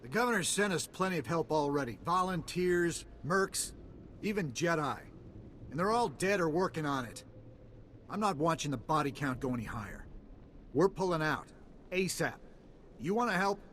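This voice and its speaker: A man's voice: on the high side, animated, normal speed, a bit bright, slight background noise. A man playing a blunt, curt, fed-up team leader in a video game. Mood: gruff, defiant. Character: hardened, fed-up male team leader ordering an evacuation.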